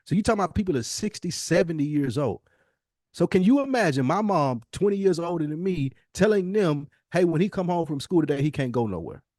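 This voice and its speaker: An American man of about forty speaks in a casual podcast style. His voice sits a little higher than average for a man. He is reflective yet emphatic, repeating phrases to drive points home, and the sound is dry with no room on it. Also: sing-song, a little fast, a little mellow, dry recording. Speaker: podcast host. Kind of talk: casual conversational podcast talk.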